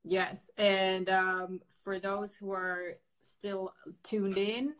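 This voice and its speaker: A woman's voice: mid-range voice, flat delivery, drawn-out words, a bit bright. A woman in her thirties from the Netherlands, a native Dutch speaker, hosting a casual livestream interview from home over a webcam and laughing easily. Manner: chatty, laughs often, many fillers. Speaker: host. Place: bedroom at home, indoors. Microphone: webcam on a video call.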